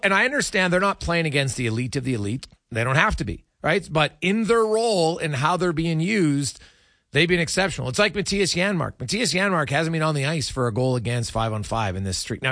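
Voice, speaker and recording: A man hosting a casual sports talk show, speaking in a halting, repetitive way. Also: on the high side, lively intonation, fairly bright tone, no room sound, clean studio sound.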